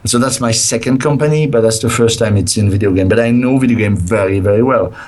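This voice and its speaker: Middle-aged developer guest, low voice, worn out and hesitant. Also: male voice, quick pace, a little mellow. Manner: hesitant, many fillers and restarts. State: tired, nearly lost his voice. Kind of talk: casual interview over a call.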